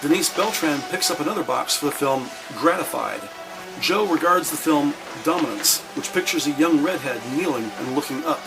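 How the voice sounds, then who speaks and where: A man voicing the narrator of a crime audio drama, describing scenes in a measured, wry, scripted way. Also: on the high side, expressive, creaky, over music, low-quality recording.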